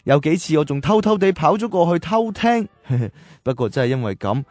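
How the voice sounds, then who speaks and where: Earnest poor cowherd kid in a radio play, expressive, dry close sound. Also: male voice, fairly high pitch, normal speed, dry recording. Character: gentle poor cowherd boy of olden times who loves learning. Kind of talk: radio drama acting.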